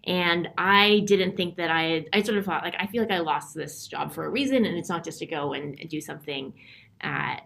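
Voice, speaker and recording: A woman in her thirties, telling her story in a relaxed, reflective interview with many fillers. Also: low voice, a little fast, gravelly. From Canada. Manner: thoughtful, frequent fillers and restarts. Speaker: interview guest.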